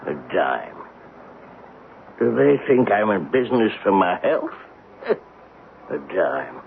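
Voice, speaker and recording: An actor playing a whining, sly old beggar with a drawn-out pleading manner in a 1970s radio drama. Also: male voice, lowish voice, big pitch swings, creaky, very noisy, full character voice. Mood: sly, scornful. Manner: drawn-out pleading street call. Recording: phone-like lo-fi 1970s radio broadcast recording.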